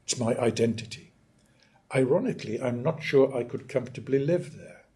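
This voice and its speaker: A man's voice: medium pitch, dark tone. Older man lecturing. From northeast England. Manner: measured, emphatic, clears throat often. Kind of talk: academic lecture. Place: lecture hall.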